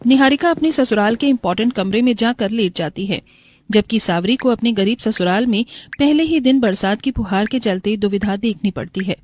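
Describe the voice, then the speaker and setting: Woman storyteller with a medium pitch. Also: moderate pace, a little mellow, no room sound. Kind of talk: scripted cartoon moral-story narration.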